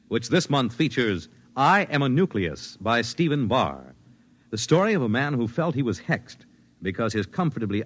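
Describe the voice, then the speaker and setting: Animated American 1950s radio announcer man. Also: mid-range voice.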